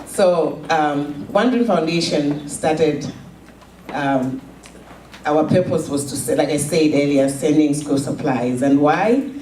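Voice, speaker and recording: A middle-aged woman from Zambia, slowly telling a personal story at a fundraiser, with halting pauses and repeated words. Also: low-pitched, noisy background. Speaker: charity founder giving a speech. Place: event hall. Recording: echoey room, from a distance.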